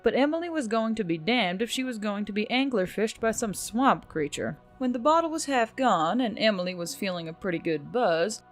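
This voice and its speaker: A woman reading scripted fiction as a narrator, expressive and animated, with wry humour. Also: medium pitch, moderate pace, few pauses. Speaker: audiobook-style narrator. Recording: dry close voice over music. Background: background music.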